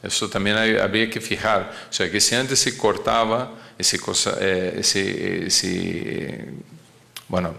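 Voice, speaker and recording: A man who is not a native Spanish speaker gives a medical lecture, often halting as he searches for words. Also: fairly low pitch, steady tone, gravelly, bright, forceful tone. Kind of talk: conference lecture.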